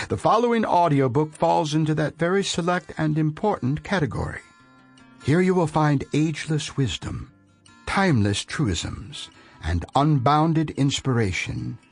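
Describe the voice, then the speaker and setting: A man delivering a scripted audiobook introduction as narrator, in a grandiose, solemn manner. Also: medium pitch.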